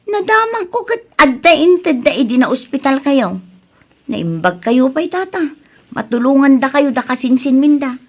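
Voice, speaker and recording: An actress playing a prying, resentful village gossip in a radio drama, complaining and insinuating with an Ilocano accent. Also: female voice, sits high, big pitch swings, moderate pace.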